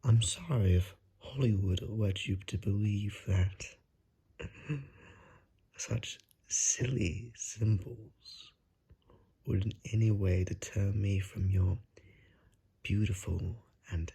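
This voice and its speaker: A man playing a suave, predatory vampire in an ASMR roleplay, speaking in a breathy half-whisper with a courtly, coaxing manner. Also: on the low side, mellow, exaggerated voice. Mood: soothing, amused. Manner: courtly, drawn-out, seductive menace.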